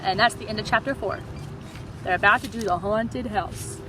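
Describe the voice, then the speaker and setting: A woman teacher reading a children's story aloud in an animated storytelling style. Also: medium pitch, moderate pace, background noise.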